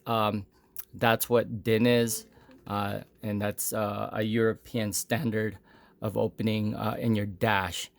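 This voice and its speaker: A man with a mid-pitched voice talks slowly through an unscripted hands-on demo. He explains things in a plain, low-key way, breaking his sentences into short, halting phrases with pauses. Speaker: product demonstrator.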